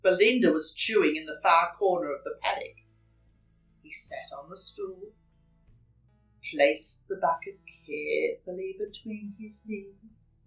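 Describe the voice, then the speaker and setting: A woman's voice: lowish voice, gravelly, fairly bright tone, recorded from a distance, soft background music, low-quality recording. A woman reading a children's story aloud expressively, dramatically stressing and drawing out repeated words. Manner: dramatic, with emphatic repetition and drawn-out words.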